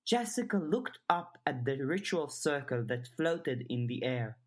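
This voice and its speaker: Unhurried low-voiced woman narrating fiction. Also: sing-song. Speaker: audiobook reader.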